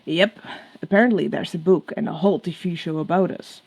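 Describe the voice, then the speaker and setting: A woman's voice: on the low side, brisk, a little mellow. A woman voicing a cheeky, smirking male demon with a casual, teasing manner in a story reading.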